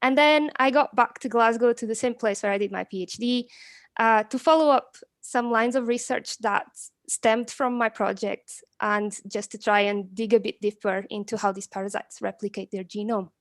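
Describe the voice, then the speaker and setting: A woman's voice: a little high, steady tone, normal speed, no echo. A woman giving an informal online conference talk as guest speaker, chatty and a little hesitant in her phrasing. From Portugal. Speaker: guest speaker, postdoctoral researcher. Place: online live stream, video call.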